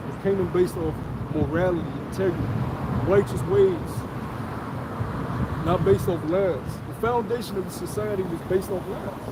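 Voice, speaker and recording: A man with a high voice lectures forcefully about scripture and history. He swears freely and cuts others off. Heavy wind and traffic noise roar around him outdoors. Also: fairly even intonation, moderate pace. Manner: forceful, profane, talks over others. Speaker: teacher of a street study group. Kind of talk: heated religious teaching.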